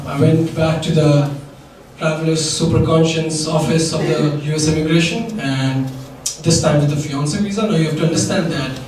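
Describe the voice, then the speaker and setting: A man's voice: on the high side, slight background noise. A young man from India telling a wry, funny personal story to a live audience. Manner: wry, dry punchlines with audience laughter. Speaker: storyteller on stage. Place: live event venue. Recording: echoey hall, mic from far. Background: audience laughter.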